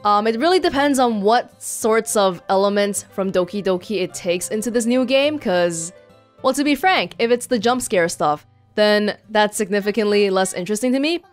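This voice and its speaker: A woman's voice: mid-range voice, normal speed, faint music behind. A woman giving casual commentary as the host of a video game let's play.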